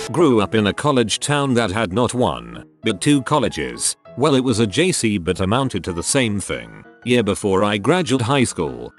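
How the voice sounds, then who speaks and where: Male narrator, deep-ish and expressive, scripted story reads, light music bed. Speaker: narrator reading online stories. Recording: faint background music.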